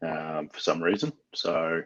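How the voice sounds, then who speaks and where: Low husky man giving a tech tutorial. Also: fairly even intonation, measured pace, a bit bright, muffled recording. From Australia. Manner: casual, thinks aloud with fillers. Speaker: IT trainer. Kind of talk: screen-share tech tutorial.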